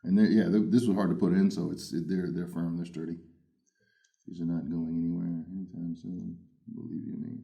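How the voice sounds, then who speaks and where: Man, big loud voice, playful unboxing banter, low pitch, mellow warm tone. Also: flat delivery, brisk, vocal fry. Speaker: headphone reviewer. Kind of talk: casual unboxing first impressions.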